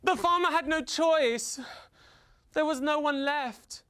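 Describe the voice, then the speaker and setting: A man from the UK telling a folktale theatrically for children, with dramatic pauses, repetition and drawn-out emphasis. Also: very high voice, fairly bright tone. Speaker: storyteller.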